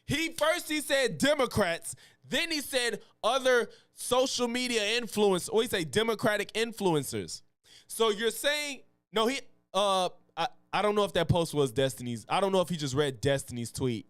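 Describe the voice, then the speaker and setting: A man's voice: sits high, expressive, unhurried, a bit bright, no echo, clean studio sound. A man doing live reaction commentary in a heated, profane, slangy manner. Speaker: political commentary streamer.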